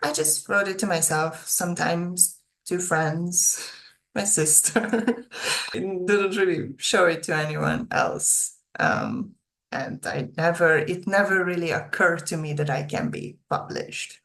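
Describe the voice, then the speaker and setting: A woman's voice: on the low side, slow pace, a bit bright. A young adult woman with a Hungarian accent chatting casually and a little hesitantly, as an author talking to her viewers. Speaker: author presenting own video channel. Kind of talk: casual chat to camera.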